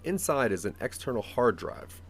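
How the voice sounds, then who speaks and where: A man narrating a scripted second-person audio drama as a measured, dramatic storyteller. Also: mid-range voice, sing-song, faint music behind.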